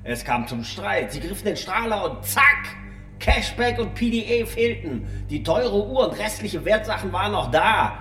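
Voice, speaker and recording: A roomy, slightly distant recording of a man acting a hard-nosed police commissioner in a radio play. He is brusque and commanding, with a strongly swinging pitch and accusing delivery. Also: very animated, normal speed, a bit bright, faint music behind. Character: gruff, hard-nosed male police commissioner interrogating a suspect. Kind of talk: audio drama dialogue.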